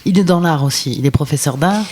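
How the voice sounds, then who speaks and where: Measured interviewer, dry close sound. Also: mid-range voice, darkish tone, dry recording. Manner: long, measured questions. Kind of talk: formal interview question.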